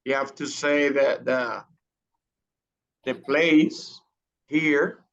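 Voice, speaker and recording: A man's voice: mid-range voice, steady tone, extremely slow, a bit bright, highs cut off. A man teaching an English class online, patient and repeating his questions to coax students to speak.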